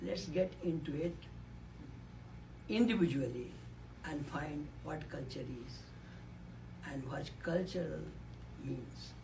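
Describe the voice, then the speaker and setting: A man's voice: a little high, slow pace, creaky, long pauses, background noise. A man, giving a reflective speech on a conference panel into a microphone and repeating key words for emphasis. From Nepal. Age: 80s. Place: conference room. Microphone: handheld or podium microphone.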